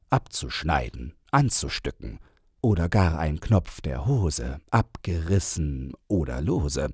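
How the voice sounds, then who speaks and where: Very deep, slow male storyteller. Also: darkish tone, husky, dry recording, studio quality. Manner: deliberate, theatrical, measured verse delivery. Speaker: audiobook reader. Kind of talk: rhymed children's story narration.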